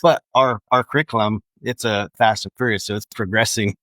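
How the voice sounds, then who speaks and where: A man's voice: fairly low pitch, fairly even intonation, a little fast, a bit bright, no room sound. A man speaking as an instructor in a casual interview, repeating phrases for emphasis. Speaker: air traffic control instructor.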